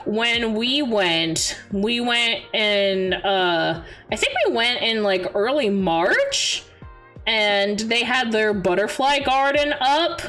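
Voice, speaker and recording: A young adult woman chatting casually on a livestream as its host, expressive and giggly as she tells stories and answers viewers. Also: mid-range voice. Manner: giggly, animated storyteller with expressive exclamations. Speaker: VTuber streamer, host. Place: indoor livestream. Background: background music.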